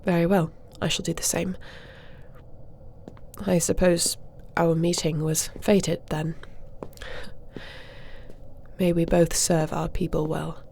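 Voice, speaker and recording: A formal warrior princess of a dragon-shifter line, acted by a woman for a fantasy audio roleplay. Her voice sits fairly low with a gravelly fry at the edges. She speaks with measured, regal diplomacy and a strong sense of duty. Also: level tone, a little mellow, a little hiss or hum. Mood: earnest, resolved. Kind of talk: scripted audio roleplay acting.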